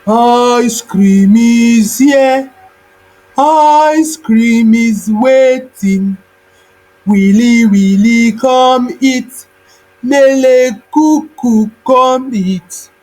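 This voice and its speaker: A woman voicing a cunning forest beast in a folktale, imitating a parent's clear sing-song call to lure children. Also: on the high side, mellow, soft background music, rough recording. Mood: luring, sing-song. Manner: slow, clear sing-song luring chant.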